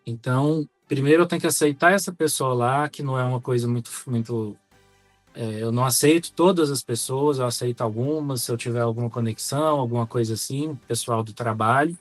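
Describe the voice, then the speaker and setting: A man with a Brazilian accent talking reflectively as a podcast co-host, measured and articulate, feeling for his words. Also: medium pitch, dry recording, faint music behind. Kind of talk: reflective conversational podcast talk.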